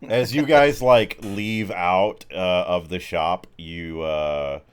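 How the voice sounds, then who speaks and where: A man narrating a tabletop game as game master, casually improvising in a halting way with frequent restarts. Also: deep voice, smooth. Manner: halting, loosely conversational, many mid-sentence restarts.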